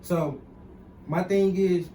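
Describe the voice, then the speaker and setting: A young man from Mississippi with a Southern accent speaks in easy, laid-back slang. His voice sits fairly high and sounds far from the mic. The room is roomy and echoing, with a faint hiss behind him. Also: steady tone, takes it slowly, dark tone. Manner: laid-back slang, repeats "you know what I'm saying". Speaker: guest wrestler. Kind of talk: casual interview.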